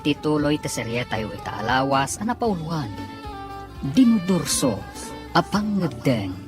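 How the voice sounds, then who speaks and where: A man's voice: on the high side, sing-song, unhurried. A man with an Ilocano Filipino accent announcing a radio drama serial in a dramatic style. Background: loud music.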